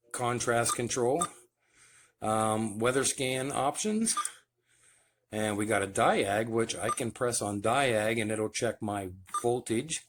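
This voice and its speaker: A man giving a casual, hands-on demonstration as the host of a home video. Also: fairly low pitch. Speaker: host demonstrating CB radio gear. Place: at home by a radio base station. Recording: clear background noise, clicks.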